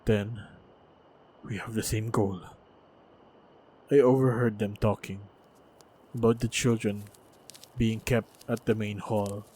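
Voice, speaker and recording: A man playing a wounded, stoic monster hunter in a fantasy audio roleplay, speaking in a measured, halting, guarded way. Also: lowish voice, fairly even intonation, warm tone, slight background noise, low-quality recording. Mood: determined. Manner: measured, halting, guarded delivery with heavy sighs. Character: wounded, battle-hardened lone male monster hunter, wary, stoic. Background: faint ambient sound effects.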